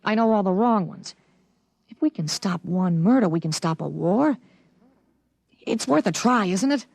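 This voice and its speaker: A man's voice: sits high, animated, moderate pace, gravelly, a little mellow, dry recording, heavily acted. An actor playing a precocious thirteen-year-old boy in 1950s radio drama, earnest, matter-of-fact and insistent. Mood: confident, persuasive.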